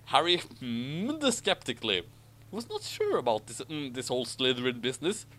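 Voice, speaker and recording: A man's voice: mid-range voice, big pitch swings, moderate pace, a bit bright. A man reading a story aloud expressively, breaking off for sardonic asides. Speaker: reader of a story with commentary. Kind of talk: story narration with mocking asides.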